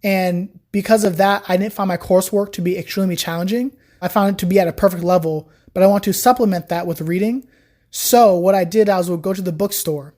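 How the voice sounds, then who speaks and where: A young woman, a guest on a podcast, chatting casually about learning Chinese, telling her story with lots of "like". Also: lowish voice. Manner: chatty, animated storytelling with frequent "like". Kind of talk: casual interview chat.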